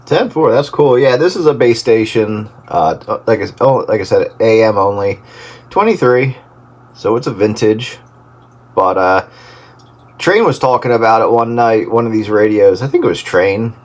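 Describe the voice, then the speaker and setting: A man hosting a casual radio hobbyist chat over CB, speaking haltingly over a two-way radio link. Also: lowish voice, expressive. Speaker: host of a radio hobbyist round-up. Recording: very noisy CB radio transmission.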